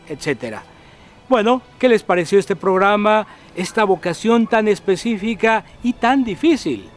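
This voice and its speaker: Guatemalan guy hosting a church tv show, fairly high voice, calm and preachy. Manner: calm, exhortative, addresses viewers. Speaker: host of religious TV program. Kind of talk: pastoral presenter commentary.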